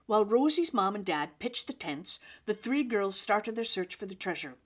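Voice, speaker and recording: A woman's voice: medium pitch, lively intonation, normal speed. Woman reading a children's story, expressively.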